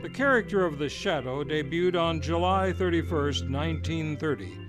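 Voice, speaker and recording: A man's voice: on the high side, moderate pace. A man, hosting and introducing an old-time radio hour in a showman announcer manner. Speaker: radio show host. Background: music.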